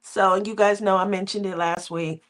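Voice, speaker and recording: A woman's voice: medium pitch, steady tone. A woman hosting a casual craft livestream, sounding nasal and a bit under the weather from a cold, rambling and laughing between her thoughts. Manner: rambling, laughs often, drawn-out filler words. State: recovering from a cold, sore throat.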